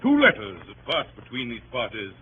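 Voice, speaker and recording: An actor playing a pompous, melodramatic barrister addressing a jury in a theatrical, grandiose 1930s radio drama. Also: male voice, medium pitch, huge pitch swings. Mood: insistent, accusing. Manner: theatrical, grandiose, emphatic oratory with dramatic pauses. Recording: dull, noisy 1930s radio broadcast.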